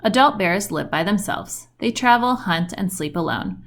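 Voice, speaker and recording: Expressive woman reading to children, creaky edges. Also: mid-range voice, moderate pace. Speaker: children's book reader. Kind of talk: read-aloud storytelling for young children.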